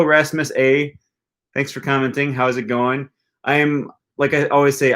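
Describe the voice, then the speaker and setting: A man's voice: mid-range voice, a bit bright. A young man hosting a language-learning live stream, reading viewer comments aloud in a chatty, rambling way with lots of ums and restarts. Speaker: language-learning livestream host.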